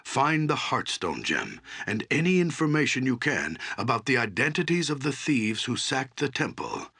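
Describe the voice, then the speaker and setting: An actor playing an aged, solemn druid in a fantasy game, with a husky, breathy voice and a halting delivery. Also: male voice, medium pitch, sing-song, pushed and bright, exaggerated voice. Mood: grave, thoughtful.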